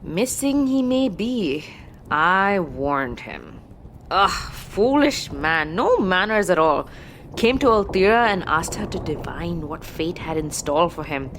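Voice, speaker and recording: A woman's voice: fairly low pitch, expressive, takes it slowly, a bit bright, a little hiss or hum. A woman playing an eccentric, cryptic fortune-telling witch in an audio drama, speaking in inverted phrases. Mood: disdainful. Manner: speaks of herself in third person with inverted phrasing. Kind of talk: audio drama character acting.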